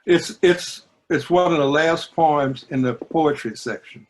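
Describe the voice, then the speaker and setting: A man, speaking in a measured, deliberate way with pauses, discussing and reading poetry. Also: fairly high pitch. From New Orleans, United States. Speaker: book editor and poetry reader.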